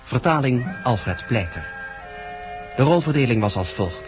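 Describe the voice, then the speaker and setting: A man's voice: mid-range voice, expressive, unhurried, dull audio. A man announcing the title and credits of a radio drama in a scripted style. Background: prominent music.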